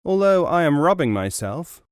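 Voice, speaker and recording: A man's voice: on the high side, sing-song, moderate pace, no room sound, studio quality, voice pushed up. A man voicing a foolish, naive young man in a folk tale, earnest and pleased with his own cunning. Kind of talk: storytelling in a narrated folk tale.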